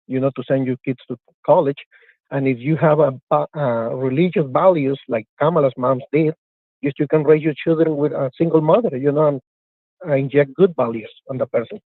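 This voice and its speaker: A man's voice: a little high, a little fast, dry recording. Man calling in over a tinny phone. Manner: hesitant, searching for words. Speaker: caller. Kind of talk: phone call-in debate.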